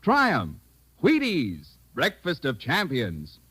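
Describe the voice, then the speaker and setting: An American man delivers a scripted vintage radio ad. His pitch moves around a lot and his manner is folksy. The sound is dry and close. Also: wildly swooping pitch, slow, fairly bright tone, husky, no echo. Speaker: radio announcer reading sponsor ad.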